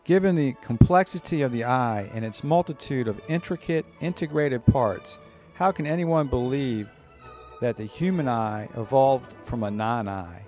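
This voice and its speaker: A man's voice: mid-range voice, moderate pace, a little mellow, faint music behind. A man giving solemn scripted narration and scripture readings in an emphatic, declamatory manner.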